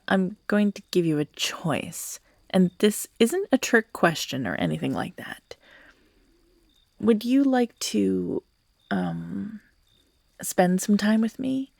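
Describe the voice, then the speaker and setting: A woman acts out an obsessive yandere lover in an intimate audio roleplay. The voice is low-leaning and slow, with creaky edges and frequent breathy whispers. She coos with tender menace, halting as if barely holding herself together. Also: a little mellow, dry recording, clean studio sound, full character voice. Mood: tender, coaxing. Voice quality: breathy, often dropping into whispers. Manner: slow, halting, cooing, broken by giggles and sighs.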